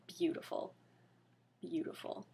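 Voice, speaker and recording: A woman's voice: slow, warm tone. A woman giving a comedic dramatic reading, theatrical and mock-sorrowful, with wry asides. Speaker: reader.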